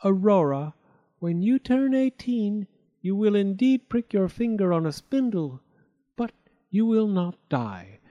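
A man doing a shy, gentle young fairy. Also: high-pitched, sing-song, measured pace, lo-fi sound, voice pushed up. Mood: solemn.

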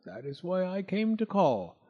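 A man voicing a slow, greedy old male toad in a storybook, repeating little sing-song phrases. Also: high-pitched, sing-song, lo-fi sound, pitched above the performer's own voice, full character voice. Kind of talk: storybook character voice.

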